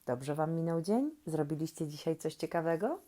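A woman who hosts and reads stories for young children, gently and playfully, asking questions and leaving expressive pauses. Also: low voice. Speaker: children's story reader and host. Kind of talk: reading aloud to young children, talking to the listeners.

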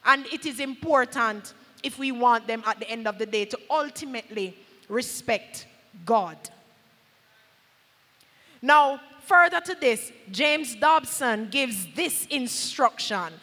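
A woman's voice: on the high side, expressive, slow pace, sharp tone. A woman in her thirties with a Jamaican accent preaching a sermon, emphatic yet conversational, slipping into Patois, on a handheld mic in a church hall. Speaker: pastor preaching. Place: large church hall at a pulpit. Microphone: handheld microphone. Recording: echoey hall through PA microphone.

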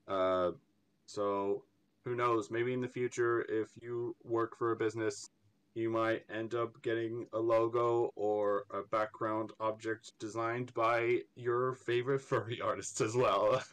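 A man's voice: fairly low pitch, level tone, measured pace, fairly bright tone, smooth. A young man from Ireland with a slightly American-tinged Irish accent, chatting casually as an interview guest, rambling and stammering with frequent laughs. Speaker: artist guest.

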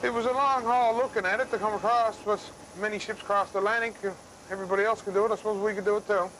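A man's voice: high-pitched, fairly even intonation, fast talker, a bit bright, rough recording. A middle-aged man with a Newfoundland and Labrador accent, talking casually and plainly as a tugboat skipper. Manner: plain-spoken, understated. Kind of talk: casual, unscripted talk. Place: tugboat wheelhouse at sea. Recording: faint engine noise in wheelhouse.

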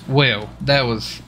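A man with a bright, punchy voice rambles casually to his stream, circling back over his own words. There is faint noise behind him. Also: mid-range voice. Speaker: livestreamer and role-play gamer. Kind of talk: casual chat while streaming a game.

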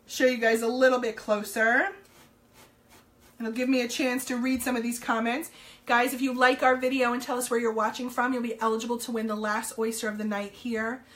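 A slightly hoarse woman, chatting upbeat and loose on a home live stream, full of little ums and hellos to viewers. Her voice sits a little high, and the sound is picked up by a camera across the table. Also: a bit bright. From New Jersey, US. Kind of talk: casual live-stream sales chat. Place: home room, indoors.

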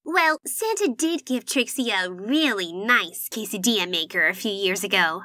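A woman's voice: medium pitch, lively intonation, normal speed, heavy background noise, natural voice. A woman voicing a dramatic, self-important but tender young female unicorn pony in a cartoon comic dub, with a theatrical manner. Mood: fond. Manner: theatrical, speaks of herself in third person. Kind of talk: animated comic dub acting.

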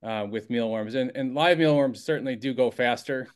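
A middle-aged man who gives bird feeding advice on a video call, measured and hesitant, with many fillers. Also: medium pitch, clean tone. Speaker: bird seed store expert. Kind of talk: casual panel chat.

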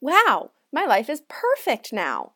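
A woman's voice: high voice, huge pitch swings, takes it slowly, bright, forceful tone, exaggerated voice. A woman playing a meekly submissive, mock-cheerful small-town wife in a comic radio play.

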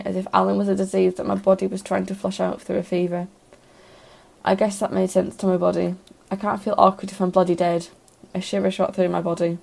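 Creaky-voiced woman narrating a story. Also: mid-range voice, a little mellow. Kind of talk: scripted first-person story narration.

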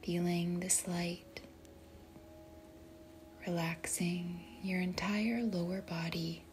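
A woman leading a guided meditation in a soft, breathy, whispery voice, speaking gently and deliberately with long pauses between short phrases. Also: lowish voice, steady tone, a little hiss or hum. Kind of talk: guided meditation, slow and soothing narration.

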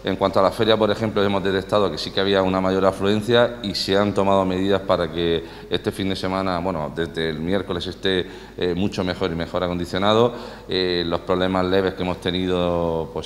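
Hombre de voz grave y monótona. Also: male voice, deep voice, level tone, a little fast. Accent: Spanish from Murcia. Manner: hesitant, many fillers. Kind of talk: press conference statement. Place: city hall press room, indoors. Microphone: podium microphone. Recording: reverberant hall, faint room hiss.

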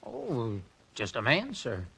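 A man's voice: mid-range voice, huge pitch swings, takes it slowly, gravelly, pushed and bright, husky, heavily acted. A man playing a polite, deferential, faintly amused hotel messenger in 1940s radio drama style.

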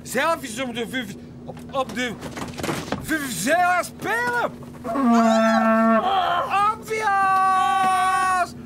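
A man's voice: extremely slow, long pauses, heavily acted. A man playing a giddy, tipsy airplane passenger with a Flemish accent in a comic-book story. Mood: tipsy, boisterous. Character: tipsy, giddy male plane passenger, slurring and boisterous. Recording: very noisy, vehicle rumble behind.

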